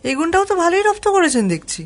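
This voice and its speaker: A woman playing a feisty, teasing young woman who is quick to scold and likes to fib, in a romantic comedy audio drama. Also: very high-pitched, sing-song. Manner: teasing, quick to scold, mixes in English exclamations. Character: feisty, teasing young woman, sharp-tongued, confessed playful fibber.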